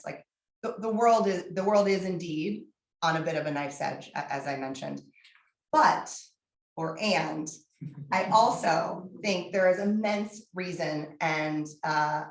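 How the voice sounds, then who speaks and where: Woman talking to a room, husky from laryngitis, lowish voice, echoey. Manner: candid, energetic, frequent fillers. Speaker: guest speaker. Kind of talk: public lecture.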